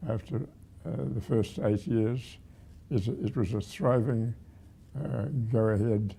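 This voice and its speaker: Very old man, husky and dark-voiced. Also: fairly low pitch. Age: 100. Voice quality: husky, aged voice. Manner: halting, with repeated words and pauses. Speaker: retired museum director, award recipient. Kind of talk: reminiscing.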